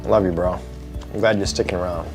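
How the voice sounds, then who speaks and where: A man's voice: low voice, steady tone, fairly quick. A man acting an affectionate, joshing brother in a radio ad dialogue. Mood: affectionate banter. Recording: music bed behind the voice.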